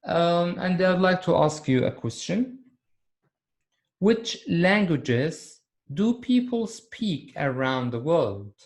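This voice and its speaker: Slow-speaking teacher. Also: male voice, a little high, sing-song. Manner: slow, deliberate, repeats key words. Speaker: English teacher. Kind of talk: recorded video lesson.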